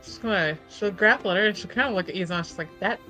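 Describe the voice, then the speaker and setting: A woman playing a tabletop game, describing her character's actions in casual, halting, informal talk. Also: mid-range voice, pushed and bright. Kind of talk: casual improvised roleplay chat. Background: music.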